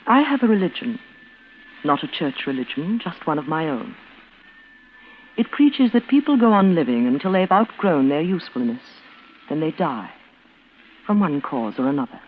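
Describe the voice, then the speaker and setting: An actress playing a cold, bitter, reclusive twin sister with a clipped, severe manner in an old-time radio suspense drama. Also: female voice, low-pitched, very animated, normal speed, long pauses. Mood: aloof, resolute. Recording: noisy, tinny vintage radio broadcast.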